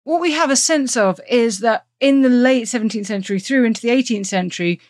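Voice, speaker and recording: A woman's voice: fairly high pitch, a little fast. Articulate woman hosting an interview. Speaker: podcast host, history professor. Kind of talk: considered interview questioning.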